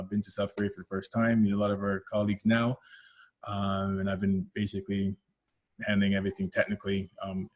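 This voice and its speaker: Canadian guy giving a tech webinar, lowish voice, lots of ums, kinda explainy. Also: darkish tone, breathy edge. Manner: explanatory, many "um" and "uh" fillers, self-corrections. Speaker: technical team lead presenting a product webinar. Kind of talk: webinar presentation.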